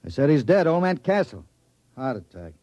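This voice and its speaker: A man acting a gruff, world-weary baseball team manager with slangy, grumbling talk in a 1950s radio drama. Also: a little high, big pitch swings, normal speed, a little mellow, slight background noise. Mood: glum, resigned.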